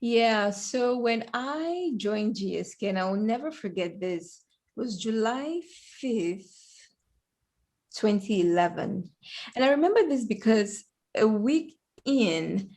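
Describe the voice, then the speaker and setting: A woman from Cameroon, a corporate lawyer, answering interview questions in a measured, reflective storytelling way over a headset on a remote call. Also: medium pitch, gravelly, a little mellow. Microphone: headphones with mic.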